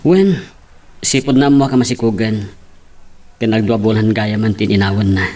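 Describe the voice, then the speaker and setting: A man's voice: on the low side, moderate pace, warm tone, lightly acted. A man playing a troubled young husband with an Ilocano accent, confiding earnestly in a drama. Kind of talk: Ilocano radio-style drama acting.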